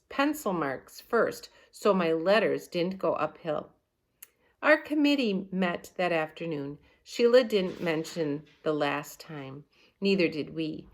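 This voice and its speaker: A woman reading a children's story aloud in an animated, expressive storytelling manner. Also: fairly low pitch. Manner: animated, acts out the dialogue. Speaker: storybook reader.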